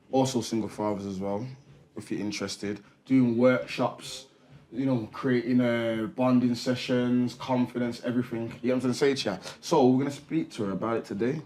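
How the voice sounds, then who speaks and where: A man hosting a podcast, talking casually with lots of slang, repetition and loud laughter. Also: medium pitch, steady tone, moderate pace, rough recording. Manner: casual slang-heavy, laughs loudly, repeats himself. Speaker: podcast host. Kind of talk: casual interview chat.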